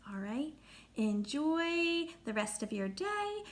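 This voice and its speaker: A woman reading a picture book aloud like a teacher, speaking deliberately to young listeners and explaining as she goes. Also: high-pitched, sing-song. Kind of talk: read-aloud storytelling with teaching asides.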